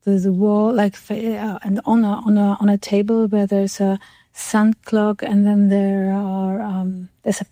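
A woman speaking English as a second language in a very mellow, relaxed voice, close and dry. They take their time over reflective talk about art, halting to find the right word. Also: medium pitch, dry recording. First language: not English. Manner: halting, searching for words. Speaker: artist in conversation.

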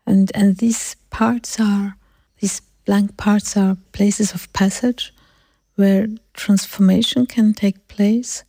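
A woman hosting an interview and speaking about her own art in a slow, thoughtful, measured way. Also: medium pitch, fairly even intonation, warm tone, no echo, clean studio sound. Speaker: host and artist. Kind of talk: radio interview.

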